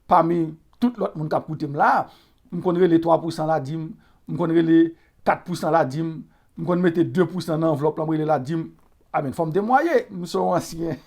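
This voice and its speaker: A middle-aged Haitian man with a Haitian Creole accent, preaching a sermon as a pastor, moving from calm teaching into emphatic raised bursts, with frequent fillers. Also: sits high.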